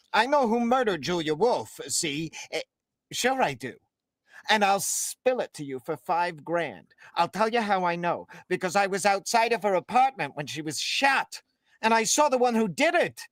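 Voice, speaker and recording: A man's voice: high voice, a bit bright, dry recording, clean studio sound, heavily acted. A man playing a shifty, nervous informant in a 1930s-style radio detective drama, stammering through slangy gangster talk. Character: shifty, nervous male informant peddling a murder secret by phone.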